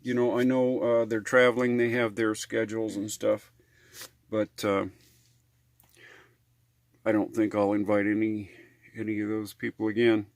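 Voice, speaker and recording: A man's voice: on the low side, level tone, measured pace, pauses often, no echo, studio quality. An American man talking casually in a how-to vlog, in a rambling, hesitant, folksy way. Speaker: RV living vlogger.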